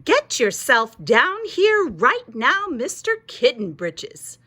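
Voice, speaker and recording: Woman as scolding mama cat, high and emphatic. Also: sing-song, a little slow, fairly bright tone, exaggerated voice. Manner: lecturing, emphatic listing. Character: scolding mother Siamese cat, stern and exasperated.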